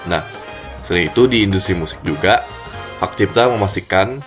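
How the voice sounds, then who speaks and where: A man with a stuffy nose giving a class presentation in a cold room, speaking haltingly with many fillers. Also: low voice, music in the background. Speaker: student presenter.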